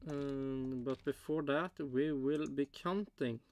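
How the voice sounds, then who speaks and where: A man hosting a casual reaction video, talking haltingly with many fillers as he counts aloud. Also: medium pitch, darkish tone, no room sound. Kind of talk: casual commentary while watching.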